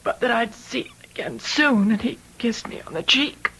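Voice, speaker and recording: A woman's voice: a little high, expressive, normal speed, a bit bright, breathy edge, full character voice, poor audio. An actress playing a distraught, frightened young woman in an old-time radio mystery, breathless and melodramatic. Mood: shaken, remembering. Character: distraught, frightened young woman fearing she seems mad.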